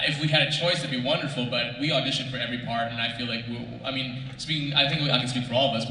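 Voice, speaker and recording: Bright-voiced man chatting in an echoing hall. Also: medium pitch. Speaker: voice actor on a panel. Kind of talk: casual unscripted panel talk. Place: large convention panel room, audience questions. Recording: distant, echoey hall, faint noise.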